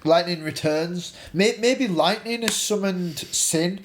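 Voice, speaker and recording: Higher-pitched man chatting over noticeable background noise. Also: takes it slowly. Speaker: video game discussion panelist. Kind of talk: casual opinionated chat.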